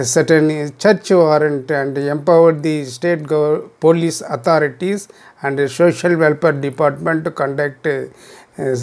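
A man in his sixties with a South Indian accent giving unscripted commentary to camera in formal, deliberate, halting phrasing. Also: on the high side, vocal fry, warm tone. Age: 60s. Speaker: video commentator. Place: small room indoors at home.

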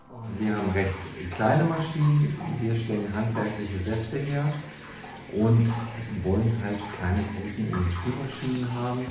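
Man, middle-aged craftsman, relaxed demonstration style, very roomy distant sound, music behind. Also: mid-range voice. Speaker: juice maker. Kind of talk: casual hands-on explanation. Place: small tiled juice-pressing workroom indoors. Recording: very echoey tiled room, off-mic, music.

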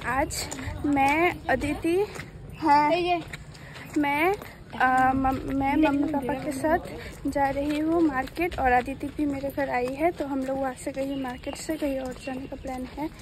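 A woman's voice: high-pitched, fairly even intonation, unhurried, background noise. A young woman vlogging casually to a phone held at arm's length, chatty with hesitant restarts and easy laughter, from street to cafe to outdoors at night. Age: young adult. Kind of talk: casual vlog chat to camera.

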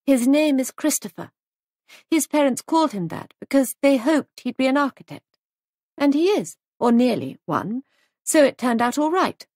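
A woman's voice: high-pitched, very animated, darkish tone, no room sound, clean studio sound, voice pushed up. Woman playing a spirited, politely defiant young hostess. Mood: cheerful. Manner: light, brisk, pointedly polite.

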